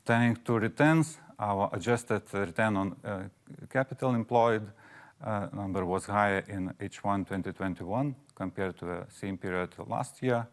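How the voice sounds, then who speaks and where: A man's voice: on the low side, a little slow. A man giving a formal financial presentation as finance chief, with many hesitations.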